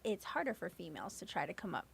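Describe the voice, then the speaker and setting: A woman from the West Coast with a raspy voice, answering interview questions casually with lots of "you know.". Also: lowish voice, fast. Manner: relaxed, many fillers like "you know". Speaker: guest hip hop artist.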